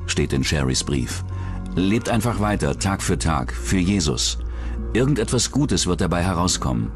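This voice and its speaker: A man reading scripted narration for a true-crime documentary as its narrator. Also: low voice. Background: background music.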